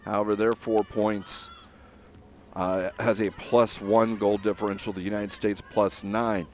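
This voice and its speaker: A man giving live play-by-play commentary on a soccer match, steady and filler-heavy with frequent pauses. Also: fairly low pitch, a little hiss or hum.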